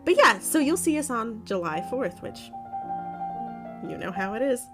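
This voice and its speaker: A woman's voice: fairly high pitch, very animated, normal speed. A woman speaking informally as a podcast host, chatty, with self-deprecating asides. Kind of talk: informal thank-you announcement to listeners. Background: guitar music.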